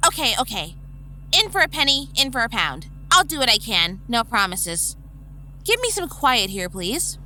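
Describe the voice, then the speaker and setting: A woman's voice: on the high side, very animated, moderate pace, sharp tone, a little hiss or hum, heavily acted. An actress playing a cautious, reluctant young female vampire mystic in an audio drama, hesitant and protesting. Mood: resigned. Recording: heavy music behind the voice.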